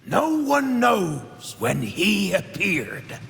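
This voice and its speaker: An actor playing a boastful, cowardly, greedy pirate henchman in a video game, with a gravelly rasp and theatrical, exaggerated delivery. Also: high voice, huge pitch swings, extremely slow, fairly bright tone, heavily acted. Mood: ominous storytelling. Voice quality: raspy, gravelly. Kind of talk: video game character acting.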